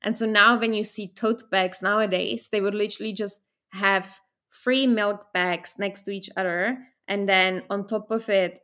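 A woman in her thirties, born in the Czech Republic, talking through her memories as a guest in a relaxed conversation. She tells her stories thoughtfully and sometimes restarts a phrase, with a voice of middling pitch. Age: 30s. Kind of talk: casual interview conversation.

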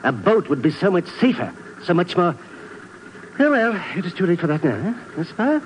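An actor playing a fretful, fussy professor in a 1950s radio drama. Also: male voice, sits high, sing-song, normal speed, highs cut off. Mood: anxious. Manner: fussy, anxious, hesitant. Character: fretful, fussy foreign male professor, nervous flier. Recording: noisy 1950s radio recording.

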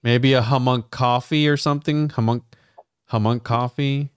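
Man, fairly low smooth voice, dry close sound, chatty co-host. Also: steady tone, normal speed, no echo. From Puerto Rico. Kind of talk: casual livestream chat.

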